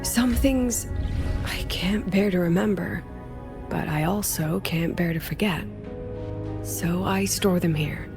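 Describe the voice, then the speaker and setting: A woman playing a composed, wry and playfully teasing female academy mentor with a quiet sadness, in scripted video game dialogue. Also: on the low side, slow pace. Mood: wistful, confiding. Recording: studio voice over game music.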